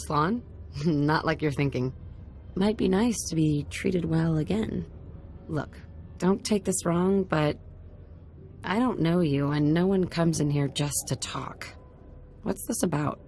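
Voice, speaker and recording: A woman's voice: deep voice, slow pace, long pauses, darkish tone, a little hiss or hum. An actress playing a wry, guarded woman who works as a casino lounge assistant in a video game, talking casually. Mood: wary, wry.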